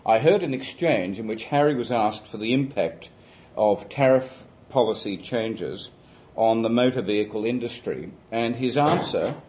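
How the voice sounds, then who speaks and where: An older man speaks into a microphone in a seminar room, his voice pitched on the low side. He lectures loudly and deliberately. His sentences are dotted with ums and hesitant restarts. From Australia. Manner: loud, deliberate, hesitant with many fillers. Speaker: guest lecturer.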